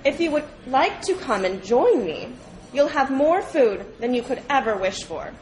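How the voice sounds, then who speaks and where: A woman's voice: high voice, big pitch swings, measured pace, darkish tone, rough recording. A woman voicing a well-fed, dutiful dog from a storybook fable, contented and matter-of-fact.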